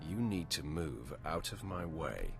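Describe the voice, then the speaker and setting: A man's voice: a bass voice, very animated, a little slow, vocal fry, over music, full character voice. A man playing a blunt, aloof Sith player character in a video game, speaking in a cool, curt manner.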